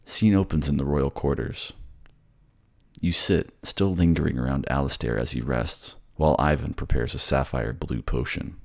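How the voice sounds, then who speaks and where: A man's voice: a bass voice, normal speed, vocal fry. A man reading scripted second-person narration for a fantasy audio drama. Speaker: voice actor and narrator.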